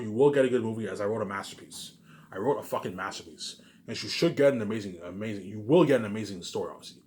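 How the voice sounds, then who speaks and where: A man giving an informal, rambling monologue, repeating himself and restarting phrases, with emphatic tag words. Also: mid-range voice. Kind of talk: rambling informal monologue about personal plans.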